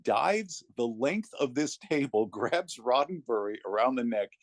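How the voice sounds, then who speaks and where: A man talking conversationally as an interview guest, telling anecdotes in a reflective way with thoughtful pauses. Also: mid-range voice, lively intonation, no room sound, studio quality. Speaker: interview guest, narrator of classic readings.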